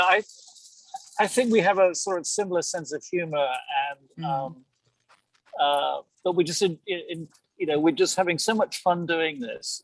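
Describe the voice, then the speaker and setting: Hesitant man with a higher-pitched voice. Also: fairly bright tone, no room sound, rough recording. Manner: hesitant, self-deprecating. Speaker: voice actor. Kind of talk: casual chat.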